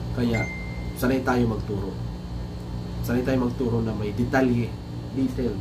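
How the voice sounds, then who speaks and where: A man's voice: medium pitch, fairly even intonation, moderate pace, far from the mic. Filipino english guy, chef doing a live stream, kinda chatty, off-mic a bit, ac humming in the room. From Philippines. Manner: mixes English with Filipino, chatty, repeats words. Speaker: chef and livestream host. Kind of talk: casual livestream talk. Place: small room indoors. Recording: noisy room with air conditioner hum. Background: air conditioning hum.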